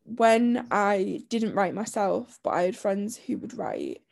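A woman co-hosting a podcast in casual chat, her voice croaky from a lingering chest illness, talking chattily with frequent laughs and restarts. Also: medium pitch, expressive, slow, darkish tone. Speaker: podcast co-host.

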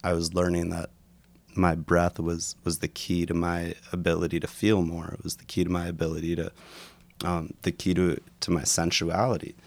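A man in his thirties, a yoga and breathwork teacher, talking thoughtfully in an interview, halting and restarting often, a bit congested. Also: deep voice, level tone, darkish tone. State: congested and sniffling. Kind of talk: reflective conversational interview.

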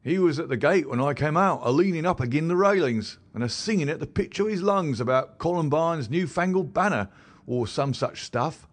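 A rough constable , put on by a man reading the book, talks loud and raised in pitch, full of grievance and rustic grammar. His voice swings a lot as he tells his tale, in every line. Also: lively intonation, moderate pace. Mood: indignant. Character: rough working-class male London police constable, defensive. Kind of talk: audiobook character voice.

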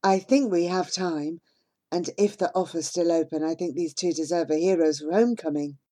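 A woman voicing a proud, gentle father who speaks reassuringly in a storybook reading. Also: on the low side, steady tone, normal speed, natural voice.

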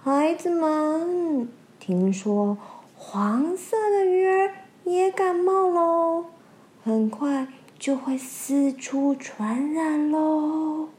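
A woman voicing a scheming lantern fish villain in a children's story, talking slowly and drawing out her words. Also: sits high, darkish tone, voice pushed up. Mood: gloating. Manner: slow, drawn-out, gloating.

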